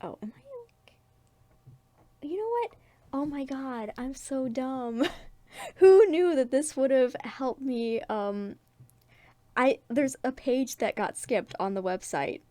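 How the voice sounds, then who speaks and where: A woman's voice: high voice, lively intonation, takes it slowly, pauses often, mellow, no echo. A woman casually reading her own comic aloud, with theatrical, self-deprecating asides and a giggly way of talking. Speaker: webcomic creator narrating own comic.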